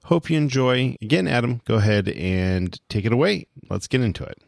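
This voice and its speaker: A man's voice: mid-range voice, animated, fairly quick, creaky, no echo, clean studio sound. A man hosting a podcast, introducing it in a casual, conversational style. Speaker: podcast host.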